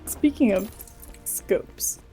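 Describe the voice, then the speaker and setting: A woman chatting casually with friends as she plays a game. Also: extremely slow. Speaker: gaming friend on stream. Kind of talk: casual chat while gaming. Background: game music.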